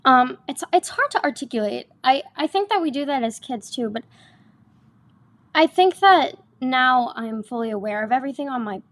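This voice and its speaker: A woman's voice: sits high, expressive, normal speed. Earnest young woman stage actor. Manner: earnest and enthusiastic, with frequent restarts. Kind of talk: casual interview chat.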